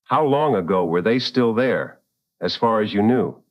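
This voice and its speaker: An actor playing a seasoned, protective late-night radio host in a drama, with a firm, wry and deliberate delivery. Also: male voice, fairly low pitch, expressive, no echo, lo-fi sound. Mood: wry, attentive.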